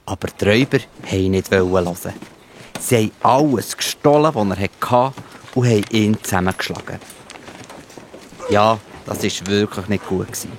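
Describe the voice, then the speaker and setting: A man's voice: fairly low pitch, moderate pace, slight background noise. A man with a Swiss German accent telling a scripted children's Bible story.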